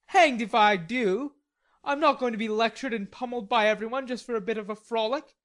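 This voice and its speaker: A woman reading an audiobook, voicing a stubborn, hot-tempered young gentleman in indignant outbursts. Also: a little high, a little fast, pitched above the performer's own voice, lightly acted. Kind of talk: audiobook character voice.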